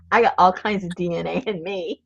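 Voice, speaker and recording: A woman's voice: on the low side, vocal fry, a bit bright, breathy edge, dry recording. A woman from Rhode Island chatting casually while she crafts, laughing often and telling stories. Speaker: craft livestream host. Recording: dull lo-fi sound.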